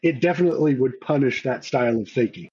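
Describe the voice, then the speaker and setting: A man co-hosting a podcast, talking in a measured, reflective, self-analyzing way. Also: medium pitch, mellow, highs cut off. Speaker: podcast co-host. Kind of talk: casual podcast chat.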